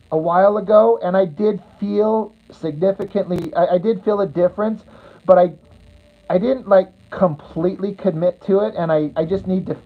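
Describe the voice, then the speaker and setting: A woman chatting loosely on a livestream, wandering from thought to thought with crude, blunt asides. Her voice sits in a middle pitch and comes through narrow and tinny, like audio over a phone line. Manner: rambling, blunt and crude, reads viewer comments aloud. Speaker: livestreamer chatting with viewers while drawing. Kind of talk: casual livestream chat.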